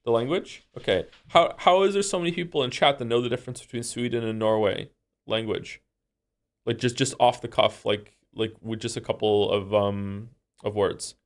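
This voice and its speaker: A man from Wales livestreaming, thinking aloud in a rambling, excitable, incredulous way as he chats casually with viewers during a game. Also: mid-range voice, moderate pace. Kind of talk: casual chat with stream viewers while playing a guessing game.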